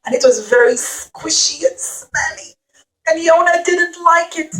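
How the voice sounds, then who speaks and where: Female children's storyteller, high-pitched, teacherly and expressive, puppet-show narration with questions to young listeners. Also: unhurried, low-quality recording.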